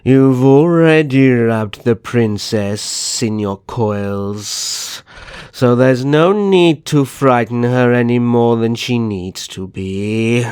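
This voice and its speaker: A man's voice: mid-range voice, takes it slowly, darkish tone, clear, resonant tone, dry recording, clean studio sound, natural voice. A man playing a suave, condescending vampire naga in an ASMR roleplay, speaking in courtly endearments. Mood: soothing, courtly. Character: suave older vampire naga brother, a coaxing, mocking kidnapper.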